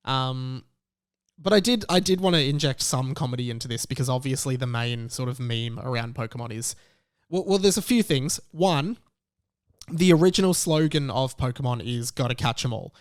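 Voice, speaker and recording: A man in his thirties hosting a podcast, giving casual solo commentary in a chatty, animated storytelling way. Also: mid-range voice, moderate pace, clean tone. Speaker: podcast host.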